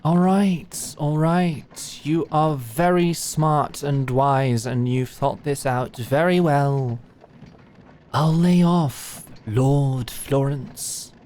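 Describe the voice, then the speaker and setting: A woman's voice: deep voice, extremely slow, mellow, slight background noise. A woman voicing a wry, teasing lady knight in a medieval audio drama, dry and playful. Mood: dry, sarcastic. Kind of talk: medieval fantasy audio roleplay acting.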